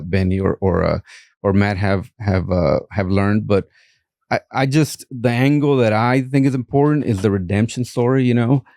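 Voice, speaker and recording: Brief: Mexican-accented man, lowish warm voice, hesitant guest speaker on a dry mic. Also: no room sound. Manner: halting, many fillers and restarts. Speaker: art collector guest. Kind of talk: casual interview talk.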